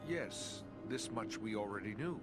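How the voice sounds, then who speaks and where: An actor playing a practical, impatient adventurer in a fantasy game, with a dry, pointed, formal way of talking. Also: male voice, lowish voice, animated, a little slow, over loud music. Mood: dry impatience.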